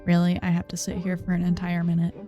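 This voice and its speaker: A woman's voice: on the low side, monotone, fast. A woman giving casual playthrough commentary as a game streamer, with muttered exasperated asides. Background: soft game music.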